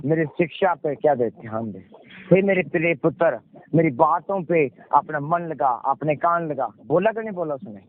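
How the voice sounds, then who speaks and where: A male pastor with a Punjabi accent preaching in an exhorting way, repeating phrases and asking questions that he answers himself. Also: on the high side. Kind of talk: sermon with rhetorical questions, call and response. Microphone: conference call. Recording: muffled, noisy conference call audio.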